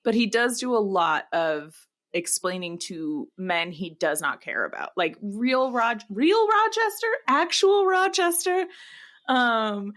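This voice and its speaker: A woman with a fairly high voice co-hosts a casual comedy podcast. She talks in a jokey, colloquial way and is quick to giggle. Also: sing-song, a bit bright. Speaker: podcast co-host.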